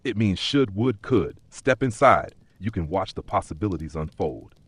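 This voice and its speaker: Man playing Nott, a mischievous magical barkeep with swooping pitch. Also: lively intonation, normal speed, a bit bright, slightly rough, dry recording, full character voice. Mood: smooth, cryptic. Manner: teasing, riddling, playful wordplay. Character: Nott, mischievous, cryptic magical pub keeper, male. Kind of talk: dark fantasy audio story dialogue.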